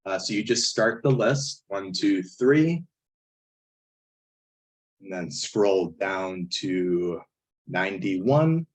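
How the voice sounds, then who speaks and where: A man with a hoarse, failing voice who often clears his throat, walking step by step through a statistics software tutorial over a screen recording. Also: low-pitched, expressive, drawn-out words, long pauses, low-quality recording. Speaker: statistics instructor. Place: indoors at a computer, voice-over on screen capture.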